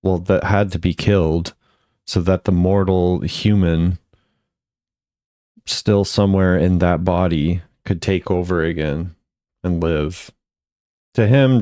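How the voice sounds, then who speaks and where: Male video host, deep pitch, unhurried pace, casual gaming commentary. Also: darkish tone, no echo, clean studio sound. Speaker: video host ranking game voice lines.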